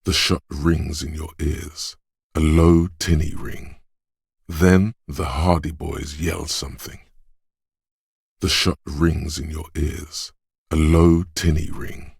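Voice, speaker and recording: An actor voicing a watchful inner perception voice in a game, speaking slowly and deliberately in a hushed tone. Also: male voice, steady tone, no echo, clean studio sound, exaggerated voice. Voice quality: deep husky voice. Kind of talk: game voice-over lines.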